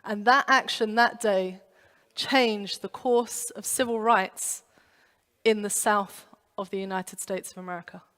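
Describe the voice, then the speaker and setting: A woman's voice: mid-range voice, unhurried. Woman preaching in a reverberant hall. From London. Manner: animated storytelling with acted-out inner voices. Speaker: pastor. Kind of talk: youth sermon. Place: church.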